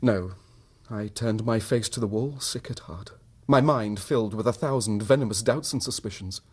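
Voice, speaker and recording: Give me a husky-voiced, troubled husband. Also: male voice, lowish voice, moderate pace, darkish tone. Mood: sombre, bitter. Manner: formal, precise, literary phrasing. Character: troubled, suspicious husband recounting his wife's secret. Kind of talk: dramatised radio narration.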